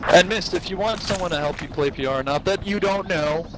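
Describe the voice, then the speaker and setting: A man chatting and joking with his squad during an online shooter match, getting excited when fighting starts. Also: high voice, brisk, very noisy. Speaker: gamer on squad voice chat. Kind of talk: casual game chat. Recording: loud gunfire and explosions behind. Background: game battle sounds.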